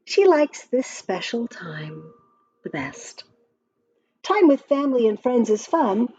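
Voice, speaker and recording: A woman reading a children's picture book aloud in a gentle, expressive storytime voice. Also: fairly high pitch, takes it slowly, highs cut off.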